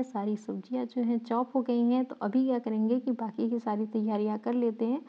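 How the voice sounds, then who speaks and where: A woman's voice: a little high, dull audio. A woman walking through a recipe step by step in a voice-over, patiently explaining each step and repeating key points. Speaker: cooking channel host.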